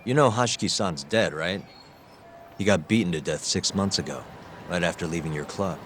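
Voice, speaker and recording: A man playing a composed, sharp young private detective, speaking in a measured, probing and dry way in a game cutscene. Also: low voice, slight background noise. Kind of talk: video game cutscene acting.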